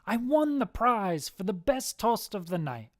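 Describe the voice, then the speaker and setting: A woman acts out a jovial Irish husband from a pub joke. He is hearty and animated, with a swooping delivery on a dry recording. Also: mid-range voice, unhurried, dry recording, pitched above the performer's own voice.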